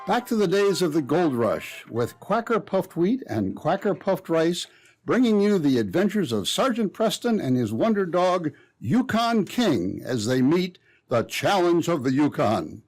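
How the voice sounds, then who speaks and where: A man's voice: fairly high pitch, sing-song, a little slow, darkish tone. A man announcing and narrating in a dramatic, theatrical old-time radio style.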